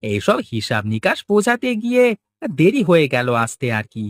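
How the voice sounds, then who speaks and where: Cartoon market worker, voiced by a man, male, high-pitched, chatty, hurried, swooping intonation. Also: very animated. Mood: harried. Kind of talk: Bengali cartoon dialogue acting.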